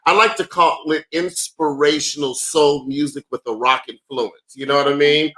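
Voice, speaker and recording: A man in his thirties, a comedian guest on a video call, chatting with exuberant, theatrical bursts of loud exclamations. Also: on the high side, fairly even intonation, normal speed, low-quality recording. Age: 30s. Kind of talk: excited casual chat.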